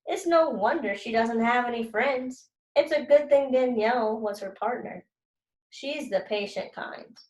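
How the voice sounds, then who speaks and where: A mischievous, cocky schoolboy tells his story as read by a woman in a boastful, informal way. The pitch is on the high side, and the room is very echoey. It has the feel of a children's book read aloud. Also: a little slow, darkish tone, natural voice. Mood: dismissive. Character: cocky troublemaking schoolboy narrator, mischievous and dismissive.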